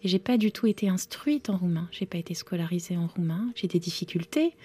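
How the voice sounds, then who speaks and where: A novelist, a woman whose first language is Romanian, answering interview questions thoughtfully with many pauses and fillers. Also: fairly low pitch, mellow. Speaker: novelist guest.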